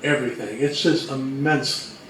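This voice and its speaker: A man giving an informal explanatory presentation to a room, speaking emphatically in short, measured phrases. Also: medium pitch. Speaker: co-presenter at an old-time radio convention talk. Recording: echoey, distant, faintly noisy live recording.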